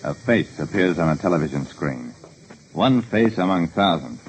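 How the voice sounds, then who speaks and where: A man's voice: deep voice, lively intonation, normal speed. An actor playing a terse, observant private detective, narrating his casebook in a clipped, dramatic 1940s radio style on a hissy old recording. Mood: dramatic, brooding. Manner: dramatic, clipped hard-boiled storytelling.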